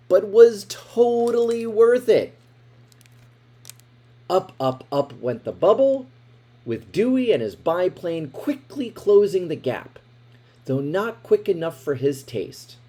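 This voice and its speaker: A man reading a children's picture book aloud in an expressive way, with dramatic emphasis. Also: on the high side. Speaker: storybook reader.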